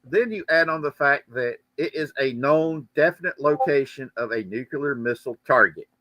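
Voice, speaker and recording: A man's voice: medium pitch, animated, moderate pace, sharp tone, dry recording. A man hosting a livestream, chatting casually and giving commentary in a rambling, joking way. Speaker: livestream host. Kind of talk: casual chat and political commentary.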